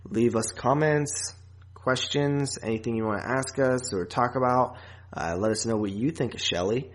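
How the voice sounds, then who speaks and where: A man's voice: mid-range voice, moderate pace. A man hosting a podcast, chatting casually about films and often restarting his thoughts. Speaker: podcast host. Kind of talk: casual film review chat.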